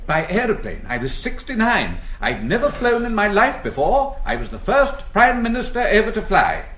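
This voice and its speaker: High, wavering old English gentleman. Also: sing-song, normal speed, heavily acted. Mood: mildly proud, defensive. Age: older. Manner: hesitant, meek, genteel. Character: feeble, hesitant old former prime minister, mildly defensive. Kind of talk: satirical radio drama. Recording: roomy, echoing sound.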